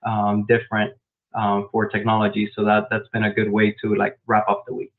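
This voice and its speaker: A man raised in Miami answering interview questions about his work as a software developer, explaining step by step with frequent "um" fillers, over a video call. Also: on the low side, monotone, moderate pace. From grew up in Miami, Florida. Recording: tinny phone-like online call.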